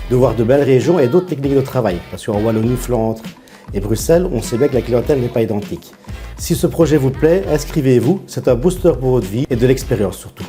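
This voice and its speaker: A man, a cooking trainer, speaks persuasively and directly. His voice is very dark and mellow. Faint music plays behind. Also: mid-range voice. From Belgium. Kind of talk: promotional pitch.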